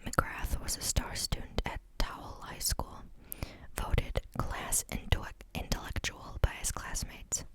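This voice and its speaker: A woman reading a book aloud in a soft, unvoiced whisper, steady but sometimes stumbling on a word and starting it again. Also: a little slow, poor audio. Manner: reads steadily, stumbles and restarts on words. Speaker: reader.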